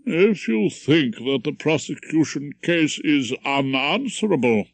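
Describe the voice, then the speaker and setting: A man voicing a pompous, condescending older male judge, speaking slowly and grandly. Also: on the high side, expressive, vocal fry, dry recording, pitched above the performer's own voice. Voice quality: pompous, measured.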